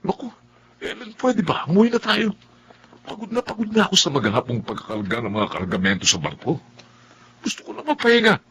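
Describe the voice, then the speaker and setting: An actor playing a weary, grumbling working-class husband in a radio drama, exasperated and colloquial. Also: male voice, a little high, huge pitch swings, a little fast, a bit bright, slight background noise. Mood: tired exasperation. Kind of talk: Filipino radio drama acting.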